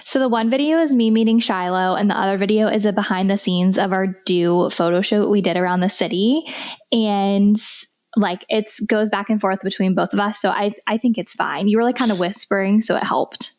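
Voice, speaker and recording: A woman's voice: mid-range voice, fairly even intonation, a little fast, darkish tone. A young woman co-hosting a podcast, chatting casually and laughing often, with many "like" fillers. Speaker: podcast co-host. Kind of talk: casual chat.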